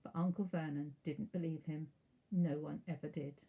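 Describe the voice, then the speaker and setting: A woman narrating a children's storybook aloud as a teacher would, in an expressive, measured way. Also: low-pitched, husky, lo-fi sound.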